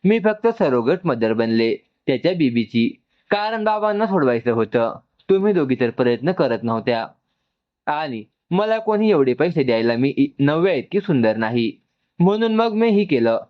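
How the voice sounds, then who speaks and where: Man playing a defiant, exasperated young woman, lively pitch. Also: normal speed, muffled recording, natural voice. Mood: hurt, tearful. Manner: curt, firm retorts.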